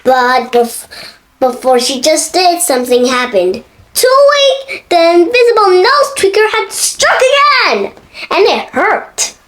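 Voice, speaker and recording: Slow, high-pitched, expressive little girl reading. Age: child, six years old. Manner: expressive, with stumbles and self-corrections. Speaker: young storybook reader. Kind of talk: reading a picture book aloud.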